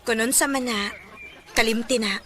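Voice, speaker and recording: A woman's voice: a little high, steady tone, moderate pace, natural voice. An actress playing a breezy, possessive young female best friend in a radio drama, speaking with a Filipino Bisaya accent. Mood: coaxing. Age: young adult. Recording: noisy radio drama recording with ambience.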